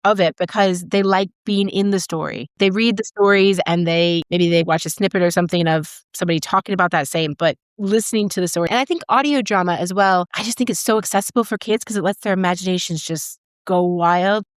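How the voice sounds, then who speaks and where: A woman's voice: lowish voice, brisk, few pauses, no room sound, clean studio sound. A middle-aged woman hosting a podcast interview in a conversational way. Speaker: podcast host interviewing guests.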